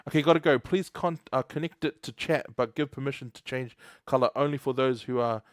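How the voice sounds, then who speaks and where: Young kiwi dude live coding, chats with viewers a lot, dry close sound. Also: male voice, medium pitch, fairly quick, dry recording. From New Zealand. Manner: chatty, laughs often, reads chat aloud. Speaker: livestream host. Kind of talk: casual live coding stream.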